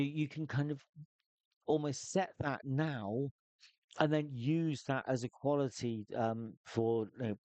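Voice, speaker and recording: A man's voice: mid-range voice, darkish tone, dry recording. A man giving tips on solo tabletop play in a loose, thinking-aloud way, with frequent restarts. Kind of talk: casual spoken advice.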